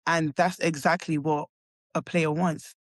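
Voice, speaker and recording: A woman's voice: low voice, flat delivery, measured pace, no room sound. A young woman with a London accent, chatting as a guest in an interview and talking hesitantly, with lots of likes and restarts. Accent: London English. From London, England. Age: young adult. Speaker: college basketball player guest. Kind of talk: casual interview chat.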